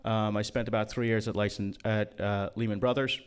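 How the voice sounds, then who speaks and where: A man's voice: on the low side, level tone, a little fast, smooth. A man from Guyana giving a casual, joking talk to a conference room, with many ums and uhs. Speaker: conference speaker.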